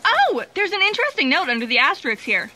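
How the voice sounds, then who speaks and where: A woman's voice: very high voice, wildly swooping pitch, fast talker, pushed and bright, heavily acted, low-quality recording. A woman playing a whimsical know-it-all storyteller, giving scripted explanations in sci-fi audio theatre.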